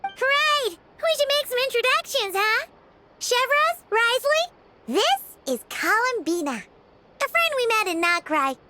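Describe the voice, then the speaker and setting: A woman voicing a tiny, excitable childlike fairy companion in a video game, with a squeaky, bubbly delivery. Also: measured pace, heavy background noise, exaggerated voice. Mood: cheerful. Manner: bubbly, refers to self in third person.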